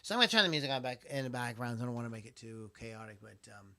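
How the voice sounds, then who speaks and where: A man's voice: mid-range voice, animated, very fast, creaky, pushed and bright, dry recording. A man hosting a casual talk show, rambling loosely with lots of fillers, restarts and the odd curse. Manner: loose and profane. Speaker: podcast host. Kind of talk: casual chat about songs.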